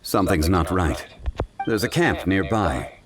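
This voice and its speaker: Deep, dignified, learned gentleman. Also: lively intonation, far from the mic, faint music behind. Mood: pensive. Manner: formal, measured, deliberate wording. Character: calm, learned, dignified gentleman, grave and courteous. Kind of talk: video game voice acting.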